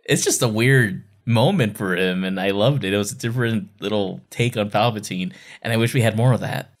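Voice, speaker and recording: A man's voice: on the low side, a little fast. A man co-hosting a fan podcast, chatting casually and enthusiastically, often repeating phrases for emphasis. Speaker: podcast co-host.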